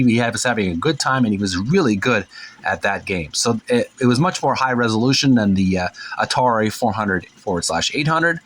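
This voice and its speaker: Rambling, folksy man hosting casually. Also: mid-range voice. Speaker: host of a retro gaming channel. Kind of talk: casual show-and-tell commentary, reading book captions aloud.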